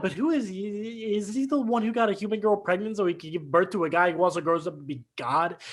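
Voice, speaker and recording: A man playing a disillusioned, brilliant son in a dramatic play reading, halting and sardonic. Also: high voice, fairly bright tone. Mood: sardonic, agitated. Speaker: stage actor in a play reading. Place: video call, remote staged reading. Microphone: video call microphone.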